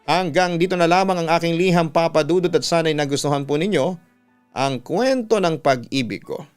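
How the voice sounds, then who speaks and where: A Filipino man reading listener letters as a radio storytelling host, with a smooth, clear voice. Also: a little high, normal speed. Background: instrumental music.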